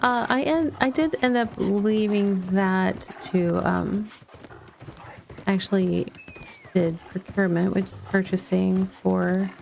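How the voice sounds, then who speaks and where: A woman's voice: medium pitch, takes it slowly, creaky, darkish tone, a little hiss or hum. A middle-aged woman chatting casually as she streams a video game, rambling a little and thinking aloud. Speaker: livestreamer playing a video game. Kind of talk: casual chat over gameplay.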